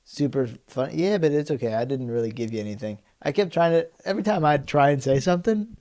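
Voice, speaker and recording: A man's voice: a little high, fairly quick, dark tone. A young man co-hosting a podcast, laid-back and laughing, often saying dude. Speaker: podcast co-host. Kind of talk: casual chat.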